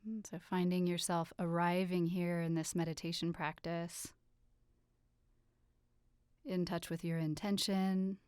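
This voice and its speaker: A woman leading a guided relaxation meditation with soothing, gentle instructions and unhurried pauses. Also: lowish voice, little pitch movement, smooth, dry recording, clean studio sound. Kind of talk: guided meditation, yoga nidra.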